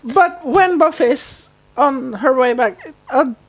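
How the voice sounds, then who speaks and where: A woman with a high voice chats casually as co-host of a fan podcast. She sounds amused and is quick to laugh. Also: rough recording.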